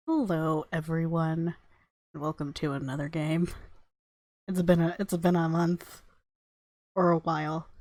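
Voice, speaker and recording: A woman's voice: sits low, fairly even intonation, measured pace, long pauses, no echo. A woman hosting a casual let's play, rambling and self-deprecating, sometimes reading game text aloud. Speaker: gaming video host. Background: soft game music.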